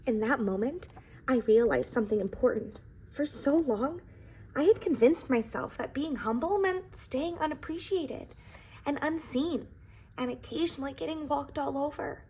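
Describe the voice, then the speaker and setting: A woman's voice: sits high, moderate pace, darkish tone, slight background noise, lightly acted, rough recording. A woman voicing a humble, anxious and earnest young pie who tells its own story in a children's storybook, with dramatic emphasis. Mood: reflective, upbeat. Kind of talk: expressive storybook reading.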